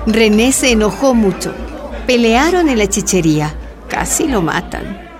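A woman's voice: on the high side, big pitch swings, unhurried, a crowd in the background. Woman narrating over music. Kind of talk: scripted radio drama narration.

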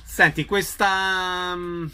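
Male radio interviewer, fairly high bright voice, casual delivery, short prompts. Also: flat delivery, extremely slow, soft background music.

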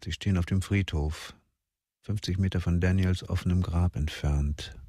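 Deep male narrator, deliberate and intense. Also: steady tone, warm tone, no echo, studio quality. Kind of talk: scripted radio drama narration.

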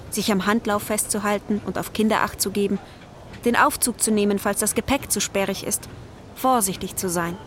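A woman's voice: mid-range voice, natural voice. A woman narrating a radio drama in a scripted, literary style. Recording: clear urban outdoor ambience noise behind.

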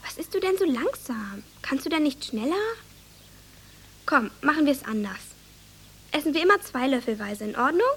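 Eager, high-voiced young girl. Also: animated, moderate pace, long pauses, a bit bright, slight background noise. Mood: instructing. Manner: eager, instructing, childlike. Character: earnest, bossy young village girl, big sister. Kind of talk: German radio drama acting.

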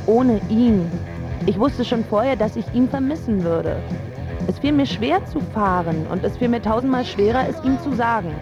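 A woman's voice: a little high, animated, normal speed, natural voice. An actress playing a smitten young woman from a pampered home, narrating intimately and lyrically in a radio drama. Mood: regretful. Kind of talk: scripted first-person narration in a German radio drama. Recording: slightly dull sound with music underneath. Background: prominent music.

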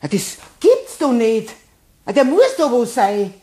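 A woman's voice: fairly high pitch, sing-song, unhurried, warm tone, a little hiss or hum. A woman playing a flustered, grumbling cleaning woman with a Bavarian accent in a German radio play, exclaiming and muttering. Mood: indignant, flustered.

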